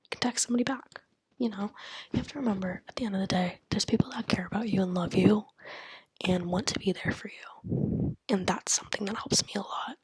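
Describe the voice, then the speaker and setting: Young woman whispering super breathy and rambly, dead dry close mic. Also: medium pitch, brisk, dry recording, rough recording. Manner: candid, rambling, lots of "like" and "you know". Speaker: vlogger. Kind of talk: whispered casual monologue.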